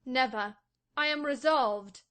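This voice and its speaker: A man reading an audiobook voices a proud, defiant young wife, speaking softly and scornfully. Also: very high voice, takes it slowly, pitched above the performer's own voice, full character voice. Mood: bitter. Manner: soft, hushed and scornful. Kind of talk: audiobook reading of a classic novel.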